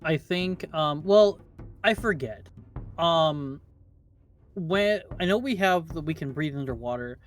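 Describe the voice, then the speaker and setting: A man's voice: on the high side, expressive, slow pace, faint music behind. A man playing in a tabletop game, talking casually and hesitantly about his character. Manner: hesitant, with fillers and laughs. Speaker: player.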